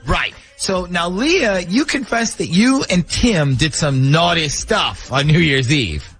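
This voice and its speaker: A man's voice: on the high side, lively intonation, takes it slowly, fairly bright tone. A man hosting a casual morning radio show, talking through a prank call.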